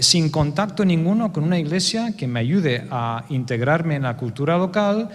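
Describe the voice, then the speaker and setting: A man who grew up in Spain, a church elder, answering on a conference panel with many hesitations, building his points step by step. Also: fairly high pitch. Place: conference hall. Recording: reverberant hall.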